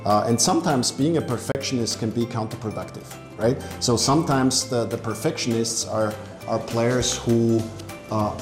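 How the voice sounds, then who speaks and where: A man from Austria, a coach, answering interview questions in a relaxed, joking way with frequent restarts. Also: mid-range voice. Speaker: basketball coach. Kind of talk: casual interview. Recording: echoey room with music behind.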